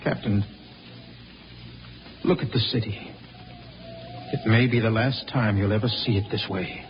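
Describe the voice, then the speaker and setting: An American actor playing a grave, idealistic and bitterly ashamed spaceman in 1950s radio drama, speaking haltingly and with passion. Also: lowish voice, steady tone. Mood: bitter, impassioned. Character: idealistic, brooding spaceman, bitterly ashamed of his own kind. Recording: muffled old radio recording, faint music.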